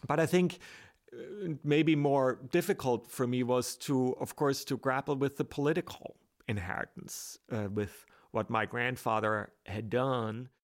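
Hesitant gravelly-voiced man with roots. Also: mid-range voice, unhurried. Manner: hesitant, with many restarts and fillers. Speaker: author and guest. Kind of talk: reflective interview talk.

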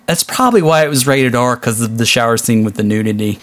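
A man's voice: medium pitch, quick pace, fairly bright tone, no room sound. A man hosting a casual chat podcast in an easygoing, joking way. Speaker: podcast host.

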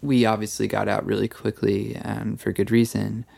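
A man in his thirties, a podcast guest, talking casually and haltingly with many fillers. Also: lowish voice, flat delivery, gravelly, darkish tone, no echo. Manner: trained voice. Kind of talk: casual chat.

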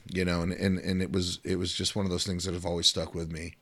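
Deep-voiced man telling dad stories. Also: a little fast. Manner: rambling, repeats words, animated when telling stories. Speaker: podcast co-host. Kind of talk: casual chat.

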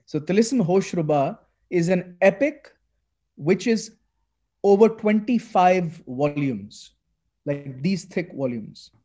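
Man, fairly high voice, talking excitedly in a casual interview. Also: takes it slowly. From Pakistan. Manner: animated, many restarts and fillers. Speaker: guest author.

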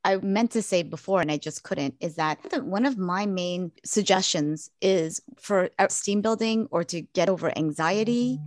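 A woman clinician who works with children and teens speaks in a measured, halting way in a casual interview, with many restarts. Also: mid-range voice, no echo. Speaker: child and adolescent mental health clinician.

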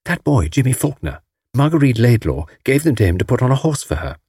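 A man voicing a bright, cheeky young British wife in a breezy, teasing manner for an audiobook. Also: medium pitch, sing-song, a little fast, husky, dry recording, clean studio sound. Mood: brisk.

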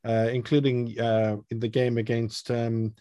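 Halting man hosting a fan phone-in. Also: medium pitch, flat delivery, warm tone, dry recording, studio quality. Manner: rambling, halting. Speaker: host. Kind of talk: casual chat.

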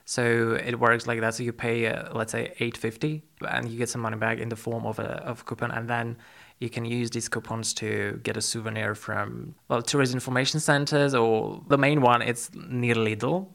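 Creaky-voiced man hosting a podcast. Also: mid-range voice, little pitch movement, normal speed, a bit bright. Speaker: podcast host. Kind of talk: conversational podcast interview.

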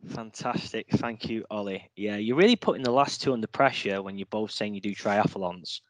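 A man's voice: lowish voice, expressive, moderate pace, dry recording, poor audio. Podcast host man, dry close sound. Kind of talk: casual hosting.

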